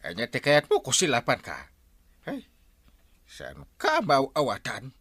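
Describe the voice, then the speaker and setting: Gruff Ilocano villager, gravelly voice with big pitch swings, radio comedy. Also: male voice, huge pitch swings, measured pace, a bit bright, faint music behind, heavily acted. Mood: confrontational.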